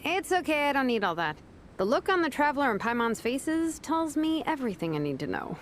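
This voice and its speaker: A woman voicing a laid-back, wry young female vice captain who talks in a relaxed, teasing way. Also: high voice, brisk, slight background noise. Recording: game cutscene with background music.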